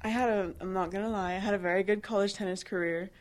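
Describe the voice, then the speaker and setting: A woman's voice: medium pitch, fairly even intonation, fast. A woman in her twenties from Barbados, answering interview questions in a chatty way, with many fillers and restarts. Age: 20s. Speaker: interviewee, new employee.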